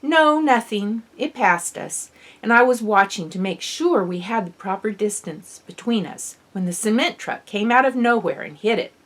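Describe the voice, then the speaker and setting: A woman's voice: medium pitch, expressive, normal speed, a bit bright. A woman voicing a defensive, dismissive female school bus driver with a curt, emphatic delivery in an audiobook. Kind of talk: acted dialogue in an audiobook.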